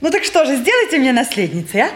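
A woman's voice: sits high, wildly swooping pitch, quick pace, echoey room. An actress playing a playful, bold, eager young woman with a coaxing, teasing manner in a 1950s Russian stage play. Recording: slightly noisy old stage recording.

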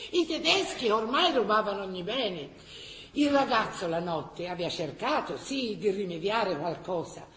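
An actress playing a scandalized, gossipy aunt in radio theatre, speaking with a Tuscan accent in an expressive, earthy way. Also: female voice, mid-range voice, reverberant, slight background noise, lightly acted, rough recording.

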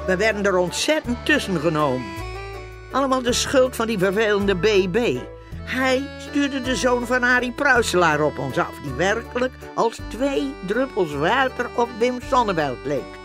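A man's voice: high-pitched, big pitch swings, normal speed, over music. A man acting as a wry storyteller who narrates household mishaps in a radio play. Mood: mock aggrieved. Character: storyteller looking back wryly on household mishaps.

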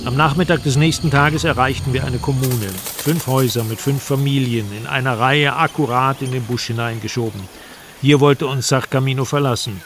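A man's voice: mid-range voice, steady tone, normal speed, background noise. A man reading scripted first-person travel narration for a radio drama.

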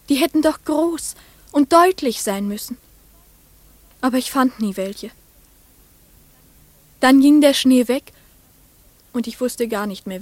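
Innocent, wistful farm girl, high-pitched, dry. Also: a little mellow, no echo. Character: farmer's young daughter, innocent, wistful, earnest. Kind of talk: radio play monologue.

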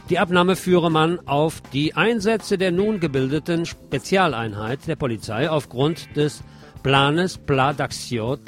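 Sardonic male news host over guitar music. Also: mid-range voice. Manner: sardonic, informal asides. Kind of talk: scripted news reading with sardonic asides. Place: radio programme.

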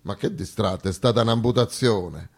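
A man's voice: lowish voice, moderate pace, a little mellow, breathy edge. A man playing the South personified as a weary, plaintive one-legged underdog, plodding and stubborn in a comic stage dialogue. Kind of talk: theatrical comic monologue dialogue.

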